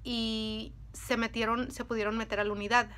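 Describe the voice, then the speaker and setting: A woman, telling a true crime story to the camera at home, animated and speaking straight to her viewers. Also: fairly high pitch, normal speed. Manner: animated, talks with her hands, addresses viewers as friends. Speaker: storytime vlogger. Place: indoors at home, in front of a dresser. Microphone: camera at close range.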